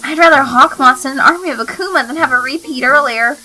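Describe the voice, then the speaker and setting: A woman's voice: high-pitched, fast talker, pushed and bright, a little hiss or hum, voice pushed up, rough recording. A woman voicing an earnest, self-doubting teenage schoolgirl with a dramatic, flustered manner in a story reading. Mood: exasperated.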